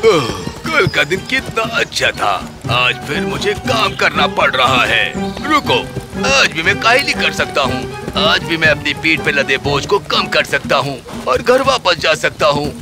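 Guy doing a smug lazy donkey for a kids fairy tale, kinda high, very animated. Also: over music. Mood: scheming. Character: lazy, scheming male donkey who hates carrying loads. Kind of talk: cartoon fairy tale acting.

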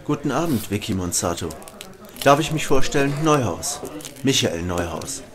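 A man playing a smooth, courteous, flirtatious newspaper reporter in an amateur German audio drama. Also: medium pitch, sing-song, moderate pace, crowd noise. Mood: charming. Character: suave, flirtatious male newspaper reporter who narrates his story. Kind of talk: German amateur audio drama, dialogue and first-person narration. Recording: clearly noisy home recording.

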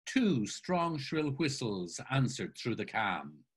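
An older actor reading a novel aloud dramatically over a video call, with expressive, theatrical narration. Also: male voice, mid-range voice, slow pace, husky. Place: home room, video call. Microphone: webcam on video call.

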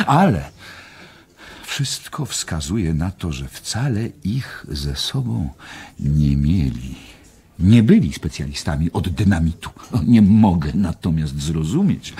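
Radio drama role: wry, rambling war correspondent, low and very husky, swinging intonation. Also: male voice, darkish tone, full character voice. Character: long-winded American war correspondent, wry and animated. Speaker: character in a radio play. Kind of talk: radio play acting, conversational storytelling.

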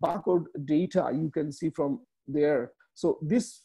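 A man in his thirties from Bangladesh, a native Bengali speaker with a Bangladeshi accent, giving a slide presentation on a video call, halting with many fillers and restarts. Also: a little high, creaky, mellow, husky. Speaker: webinar speaker. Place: small room indoors.

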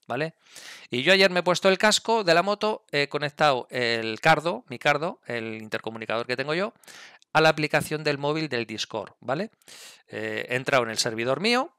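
A man's voice: medium pitch, bright, forceful tone, clear, resonant tone, dry recording, clean studio sound. A middle-aged man with a Spanish accent from Spain explains things casually to camera on a headset mic, often checking in with listeners. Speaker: video creator, gamer and motorcyclist. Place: indoors at a desk with a computer. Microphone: gaming headset mic, close.

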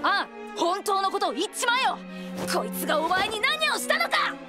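A woman's voice: very high-pitched, sing-song, moderate pace. A woman voicing a tiny, hot-tempered and self-important boy fairy in an anime, brash and indignant. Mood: angry, hurt. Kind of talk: exaggerated Japanese anime voice acting. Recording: background music under the dialogue.

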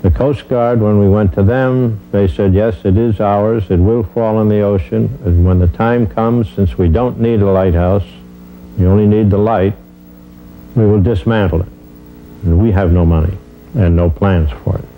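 A man's voice: lowish voice, fairly even intonation, moderate pace, warm tone, slight background noise, low-quality recording. A man in his seventies explaining things in an interview, recorded indoors on a lapel mic. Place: indoor room.

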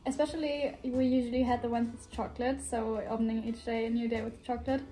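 An eighteen-year-old woman from western Germany with a German accent, speaking English as a second language, pausing often on "um" and "uh". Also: a little high, level tone, mellow, rough recording. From North Rhine-Westphalia, Germany. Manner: hesitant, many "um" and "uh". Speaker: guest volunteer. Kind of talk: casual chat on camera. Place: indoor room with shelves.

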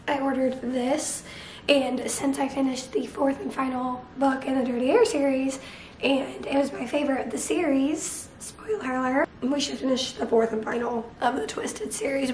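A young woman vlogging casually to camera from her bedroom about her reading, hoarse and losing her voice from a cold, chatty with lots of fillers. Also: high voice, echoey room, a little hiss or hum. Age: young adult. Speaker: book vlogger. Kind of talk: casual vlog chat to camera. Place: small bright bedroom.

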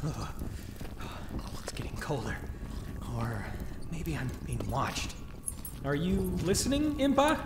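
A man's voice: a little high, huge pitch swings, slow, long pauses, noisy background, heavily acted. A man playing a young, anxious but determined adventuring hero in a fantasy audio drama, muttering to himself and exclaiming. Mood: awed, uneasy. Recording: roomy echo, loud musical score behind. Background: orchestral fantasy game-style music.

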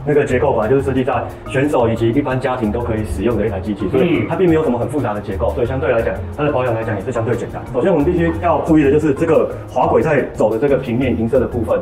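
A man, giving a rapid, matter-of-fact explanation of equipment care in a small office gym. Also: medium pitch. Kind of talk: product explanation. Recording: distant, roomy, with background music.

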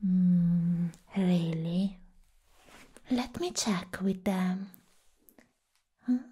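Casting: woman as a giddy, menacing comic-book villainess for ASMR roleplay, very breathy whisper, unhurried teasing delivery. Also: on the low side, mellow, full character voice. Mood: mad, conspiratorial. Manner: teasing, playful, drawn-out.

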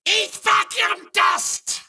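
A man voicing a boastful alien racing villain in a video game, shouting short exclamations. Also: very high voice, flat delivery, extremely slow, loud music behind the voice, full character voice. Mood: boastful, excited.

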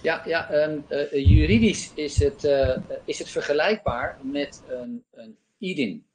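A man in his fifties giving a live software demo and answering questions on a video call, talking with many fillers from a home office. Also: on the high side, unhurried, a little hiss or hum, poor audio. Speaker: company presenter. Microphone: laptop webcam on video call.

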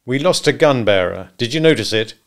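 A man voicing a gruff, unflappable male hunter who talks in terse, hearty, clipped phrases. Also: medium pitch, lively intonation, brisk, close to an everyday voice. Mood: brisk, gruff.

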